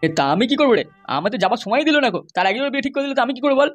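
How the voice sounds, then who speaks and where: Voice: mid-range voice, lively intonation, rapid-fire, faint music behind, natural voice. A performer voicing a blunt, dismissive father in a cartoon, scolding his son. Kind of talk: cartoon voice acting.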